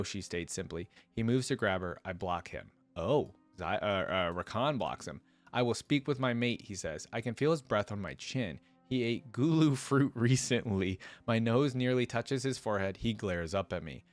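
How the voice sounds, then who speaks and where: A man hosting a reaction video, reading a story aloud and breaking off for casual, reflective commentary. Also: lowish voice. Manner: casual, stops to explain and reflect.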